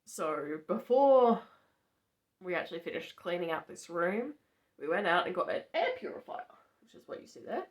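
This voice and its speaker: A young woman with a gravelly, hoarse voice from allergies, talking in a chatty, matter-of-fact way in a casual vlog from a farmhouse bedroom. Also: lowish voice, sing-song, moderate pace. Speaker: vlogger.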